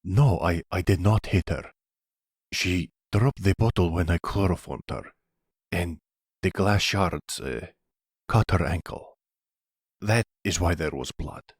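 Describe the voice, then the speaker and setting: A man playing a timid, wounded young mobster son with a Russian accent, speaking haltingly and formally in an ASMR roleplay drama. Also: low-pitched, slightly rough, no echo, studio quality, exaggerated voice. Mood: apologetic. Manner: halting, formal phrasing without contractions.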